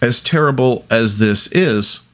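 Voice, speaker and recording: A man delivers an unscripted solo podcast monologue at a very slow pace. His pitch sits in an ordinary middle range for a man. He speaks deliberately, breaking sentences into short pieces with long pauses. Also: expressive, a bit bright. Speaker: podcast host.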